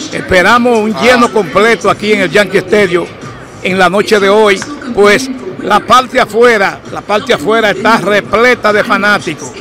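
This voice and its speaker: An older man reports from an open-air ballpark, speaking formally into a handheld mic and often stopping to restart a phrase. His voice sits high for a man. Music plays clearly behind him. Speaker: field reporter. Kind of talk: on-site sports reporting. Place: outdoors at a baseball stadium.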